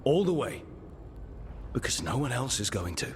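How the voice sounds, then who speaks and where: A man acting as a steady hero of a fantasy game, mid-pitched and earnest. He sounds firm and encouraging, like a leader giving orders. There is faint ambience behind the voice. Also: normal speed, a little hiss or hum, lightly acted. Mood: resolute, encouraging. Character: steady, resolute male leader rallying his team. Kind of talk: cinematic video game voice acting.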